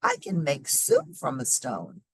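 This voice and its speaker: Woman playing a wily, coaxing young wanderer, husky. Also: a bass voice, wildly swooping pitch, unhurried, no room sound, full character voice. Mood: confident. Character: hungry, wily, polite young man wanderer.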